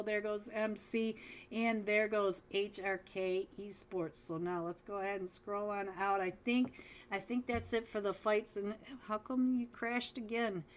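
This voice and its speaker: Chatty woman casting a mobile esports match. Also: a little high. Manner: chatty, talks straight to viewers, frequent restarts. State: losing her voice. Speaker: esports caster. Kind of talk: live game commentary.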